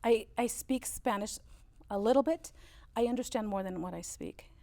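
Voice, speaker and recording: A woman's voice: on the high side, normal speed, vocal fry. A woman in her fifties whose first language is German, telling family stories as an interview guest with lots of "uh" fillers. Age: 50s. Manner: storytelling, warm recollection with frequent "uh" fillers. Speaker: interview guest, business owner. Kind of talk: relaxed interview conversation.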